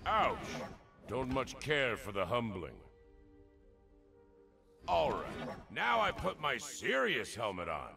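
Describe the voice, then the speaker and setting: A gruff old game warrior with a gravelly, creaking voice. He is dry, sarcastic and folksy, a wisecracking veteran whose pitch moves in lively swings. Faint music sits behind him. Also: slow, long pauses, exaggerated voice. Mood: sardonic. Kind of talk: video game voice lines.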